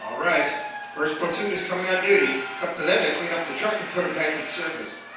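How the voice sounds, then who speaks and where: A man's voice: a little high, fast, heavy reverb, natural voice. Sleepy young male firefighter played by an actor, radio drama, distant with background noise. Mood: easygoing. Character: sleepy, easygoing young male rookie fireman. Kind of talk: old-time radio drama acting.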